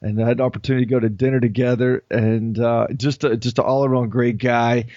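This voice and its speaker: A man's voice: mid-range voice, flat delivery, fast, darkish tone, dry recording, muffled recording. A man hosting a podcast in an upbeat, casual tone. His mid-range voice sounds close and dry, with no room around it. He chats easily with his guest. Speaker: podcast host and coach.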